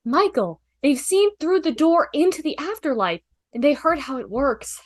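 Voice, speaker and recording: A woman's voice: high-pitched, big pitch swings, normal speed. A woman reading the part of a matter-of-fact otherworldly female assistant at a table read. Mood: matter-of-fact concern. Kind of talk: amateur script table read.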